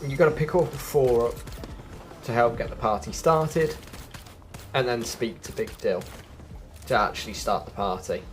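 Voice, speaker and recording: A man's voice: mid-range voice, a little slow, long pauses, slight background noise. A man giving a casual, chatty gameplay walkthrough, explaining things directly to viewers as a video host.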